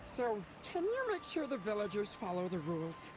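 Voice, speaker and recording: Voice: medium pitch, wildly swooping pitch, moderate pace, a little mellow. An actor voicing a fussy, rule-obsessed village mayor in a game, eager and effusive. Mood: pleased.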